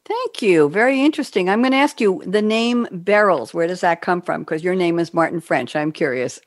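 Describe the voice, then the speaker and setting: A woman's voice: on the low side, expressive, normal speed, no echo, studio quality. A woman hosting a podcast interview, politely introducing her guest and asking questions. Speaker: podcast host.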